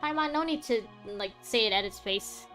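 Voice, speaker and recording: A woman's voice: fairly high pitch, lively intonation, normal speed, a bit bright, soft background music. A woman streaming a game with casual, sarcastic commentary, talking back to the characters.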